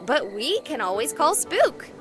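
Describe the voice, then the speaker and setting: A woman's voice: high voice, big pitch swings, measured pace, over music. A woman playing a playful, self-doubting young woman in a visual novel game, hesitant and lightly teasing. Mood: thoughtful, teasing.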